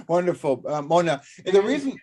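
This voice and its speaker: Halting male host on a video call. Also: high-pitched, big pitch swings, a little mellow. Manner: halting, full of fillers. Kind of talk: introducing guests on a video call.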